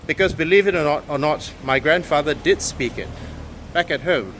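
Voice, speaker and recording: A man's voice: a little high, expressive, moderate pace, fairly bright tone. Earnest Malaysian man, noisy room. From Penang, Malaysia. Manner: earnest, hesitant with frequent fillers. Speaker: video host. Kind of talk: opinion monologue to camera.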